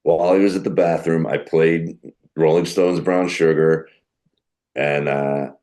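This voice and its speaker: A middle-aged man with a deep voice, a comedian telling animated stories in a podcast interview. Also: unhurried. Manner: animated storyteller who acts out quoted people. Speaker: guest comedian.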